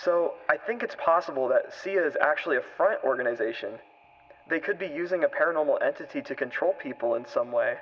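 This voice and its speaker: A man's voice: on the high side, lively intonation, fairly quick, slight background noise, close to an everyday voice. A young man playing an earnest, tentative co-host of a paranormal vlog in an audio drama, speaking casually. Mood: earnest, questioning. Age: young adult. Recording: lo-fi video audio with music.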